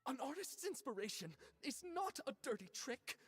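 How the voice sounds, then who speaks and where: A woman voicing a vain, melodramatic male artist in a video game, with a pompous, theatrical, husky delivery. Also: sits high, sing-song, normal speed, heavily acted. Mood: dramatic. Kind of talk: game voice acting.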